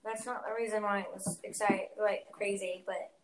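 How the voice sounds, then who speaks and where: A woman's voice: medium pitch, steady tone, moderate pace. A young woman hosting a casual chat podcast, talking chattily with lots of fillers and giggles. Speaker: podcast host.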